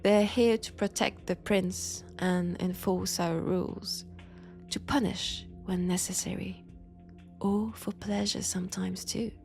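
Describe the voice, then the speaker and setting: A woman voicing a seductive, menacing ancient vampire in an intimate audio roleplay, with a French accent and a breathy delivery that dips into whispers. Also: mid-range voice, steady tone, slow, darkish tone, faint music behind. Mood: ominous.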